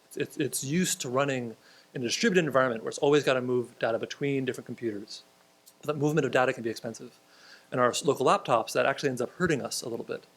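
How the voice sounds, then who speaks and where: A man teaching a conference programming tutorial, explaining step by step and repeating audience questions aloud. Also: mid-range voice. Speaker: tutorial instructor. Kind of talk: live technical tutorial, answering questions.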